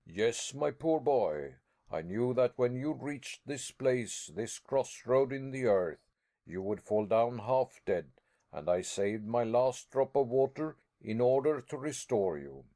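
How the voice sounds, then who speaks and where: A man's voice: medium pitch, animated, takes it slowly, warm tone. A man voicing a stubborn older professor and explorer who refuses to turn back, tender at first and then harsh and commanding.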